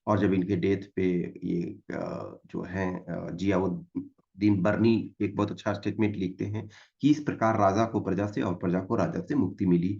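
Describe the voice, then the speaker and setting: Indian male exam tutor, informal and explanatory, creaky voice, mid pitch, on a dull lo-fi mic. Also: fairly even intonation, darkish tone. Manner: informal, mixes Hindi with English terms, reads questions aloud slowly. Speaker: exam coaching teacher. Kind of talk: explanatory lecture working through exam questions. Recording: dull, lo-fi microphone sound.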